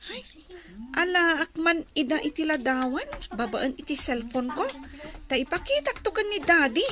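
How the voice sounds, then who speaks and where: A woman acting a whiny, scheming schoolgirl who tattles to her father in a drawn-out, plaintive way, in a radio comedy drama. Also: high-pitched, big pitch swings. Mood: sly, tattling.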